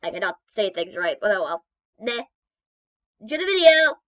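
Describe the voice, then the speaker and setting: A woman's voice: mid-range voice, very animated, moderate pace, a bit bright, no echo, dull audio. A young woman casually narrating her amateur comic dub with playful, self-deprecating asides.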